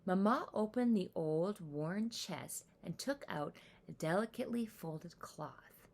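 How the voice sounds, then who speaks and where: A woman reading a children's story aloud with animated, deliberate, expressive narration. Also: on the low side, gravelly, a little hiss or hum.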